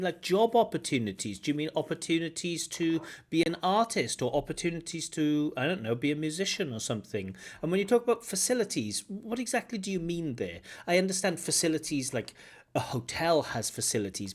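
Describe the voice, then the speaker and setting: A man from Wales, an English examiner, giving feedback on a speaking test and explaining points with examples and gentle corrections. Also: fairly high pitch. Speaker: IELTS examiner and teacher. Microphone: video call.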